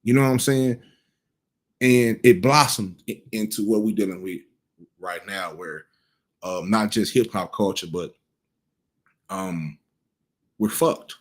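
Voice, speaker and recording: A man's voice: on the low side, takes it slowly, pauses often. A man in his thirties giving casual cultural commentary, blunt and profane, rambling with frequent restarts. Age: 30s.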